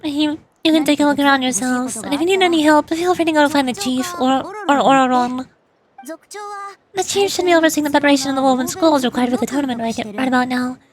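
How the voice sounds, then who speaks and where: A woman's voice: high-pitched, fast, close to an everyday voice. A woman voicing a small, sleepy, granny-like game character who grumbles and fusses with self-importance. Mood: resigned, sleepy. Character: small sleepy granny-like elder girl, fussy and caring.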